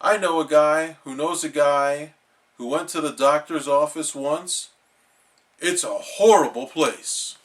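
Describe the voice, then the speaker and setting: A man voicing a dim but well-meaning cartoon starfish, speaking in an emphatic, dramatic, drawn-out way. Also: a little high, close to an everyday voice. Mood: alarmed.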